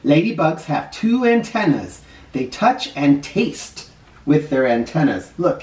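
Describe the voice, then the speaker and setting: A man's voice: on the high side, darkish tone. A middle-aged man teaching young children and reading a picture book aloud, explaining simply and stressing key words. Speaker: first grade teacher. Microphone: camera a short distance away. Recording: roomy, distant camera microphone.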